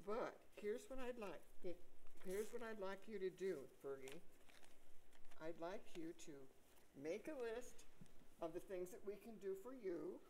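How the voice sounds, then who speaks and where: An older woman playing a pushy but polite fundraising visitor in a staged comedy reading, coaxing and deliberate. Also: medium pitch, mellow, a little hiss or hum, low-quality recording. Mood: bossy, polite.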